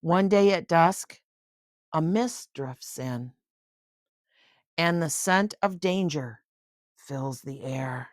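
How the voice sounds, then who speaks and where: An older woman reading a children's picture book aloud in an expressive, unhurried way, with chatty asides of wonder. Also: deep voice, dry recording, clean studio sound.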